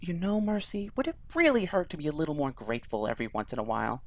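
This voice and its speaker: A man's voice: fairly high pitch, lively intonation, fast talker. A man voicing a stern, self-righteous woman priestess who scolds coldly. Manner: cold, reproachful.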